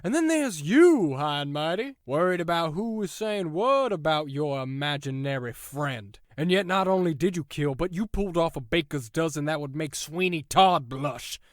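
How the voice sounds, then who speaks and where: A man's voice: fairly high pitch, lively intonation, normal speed, few pauses, dry recording, clean studio sound, natural voice. A man playing a domineering prison warden in an audio drama, sardonic and menacing, with theatrical, taunting sarcasm. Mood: mocking.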